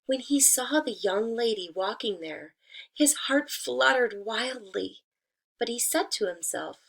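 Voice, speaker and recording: A woman teacher giving a read-aloud lesson for children, speaking deliberately to a young audience. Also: a little high, animated, gravelly, poor audio. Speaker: elementary school teacher.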